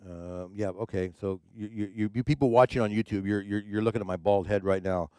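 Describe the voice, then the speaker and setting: A man's voice: on the low side, fairly even intonation, brisk, mellow, clean tone, no echo. A man who works as a DJ, hosting a casual livestream chat from his home studio, rambling with many fillers and repeated words. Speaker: streaming DJ and show host.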